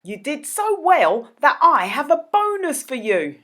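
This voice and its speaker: A woman presenting a quiz for children as host, speaking deliberately and expressively to young viewers. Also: high voice, very animated, sharp tone, some chatter behind, a little hiss or hum. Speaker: host of children's read-aloud videos.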